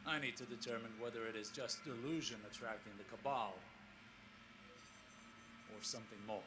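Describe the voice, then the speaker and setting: An actor playing a weary, scholarly explorer in a science fiction game, recording a log in a measured, grave, formal way with brooding pauses. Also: male voice, mid-range voice, noisy background, lightly acted. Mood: grave, concerned. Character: weary, scholarly male sci-fi explorer, solemn and troubled.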